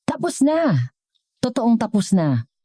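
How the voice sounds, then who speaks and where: A woman narrator acts a teenage shoeshine boy, polite and earnest, pitched a little above her low storytelling voice. The tone is soft and mellow, in a dry storybook recording. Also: wildly swooping pitch, slow, slightly rough, no room sound, heavily acted. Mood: relieved.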